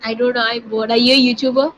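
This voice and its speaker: A young woman with an Indian English accent chatting casually on a video call, soft-spoken, dry and amused. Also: a little high, little pitch movement, quick pace.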